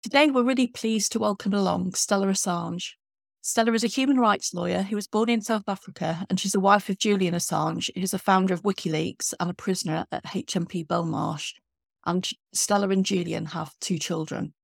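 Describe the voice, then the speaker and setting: A woman's voice: medium pitch, moderate pace, vocal fry, no room sound. A woman co-hosting a podcast, reading the show opening and introducing a guest. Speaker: podcast co-host. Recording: dry voice with faint music behind.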